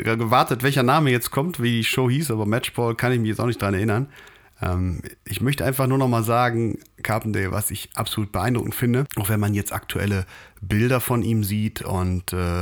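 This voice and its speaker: A man co-hosting a podcast, chatting casually and rambling with many fillers and hesitations. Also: fairly low pitch. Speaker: podcast co-host. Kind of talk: casual chat.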